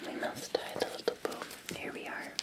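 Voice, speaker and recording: A Scottish woman playing a gentle, attentive Victorian housemaid in an ASMR roleplay, in a soft, breathy, soothing whisper with polite deference. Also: moderate pace, lightly acted. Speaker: ASMR performer.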